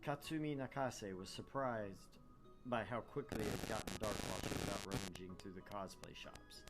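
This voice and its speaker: A man's voice: lowish voice, steady tone, moderate pace, music in the background, poor audio. A man narrating a visual novel as a gaming host, with irreverent asides.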